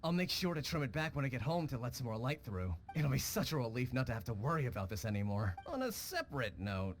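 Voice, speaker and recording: A man's voice: mid-range voice, very animated, quick pace, faint music behind, close to an everyday voice. A man playing a polite, nervous villager in a video game, deferential and apt to stumble over his words. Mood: relieved, polite. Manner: stammering, deferential.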